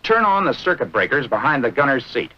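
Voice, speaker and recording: An American man narrating a 1940s military training film in a brisk, instructive manner with clipped short phrases. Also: medium pitch, big pitch swings. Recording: dull, band-limited vintage film soundtrack.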